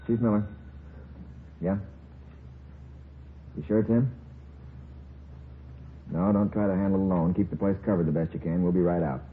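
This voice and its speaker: A narrow, old-time radio sound with faint noise frames a man acting a police chief. His voice is deep and steady, with plain-spoken, businesslike authority. He comes across as a cautious commander in a 1950s drama. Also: moderate pace, pauses often, close to an everyday voice. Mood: commanding. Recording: muffled tinny old radio recording, faint hiss.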